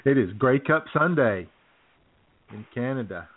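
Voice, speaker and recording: A man's voice: mid-range voice, lively intonation, a little slow. An American man chatting casually as a talk show co-host, with an easygoing manner. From United States. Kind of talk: casual banter. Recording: thin phone-like audio.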